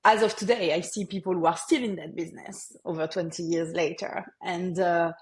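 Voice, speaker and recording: A French-accented woman in her forties speaks in a fairly low voice, thinking aloud as she goes. She circles back and restarts her sentences, with small laughs between thoughts, in a relaxed podcast conversation. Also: moderate pace. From France. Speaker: marketing executive guest. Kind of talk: casual podcast interview.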